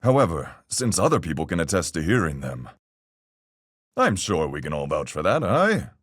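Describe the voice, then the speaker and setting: An actor playing a calm seafaring man in a video game, reasoning things through with folksy sailor talk in a husky, gravelly voice. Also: low voice, no echo, studio quality, full character voice. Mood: measured, reasoning. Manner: folksy nautical speech, measured and reasoning. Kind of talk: video game voice acting, deliberate argument.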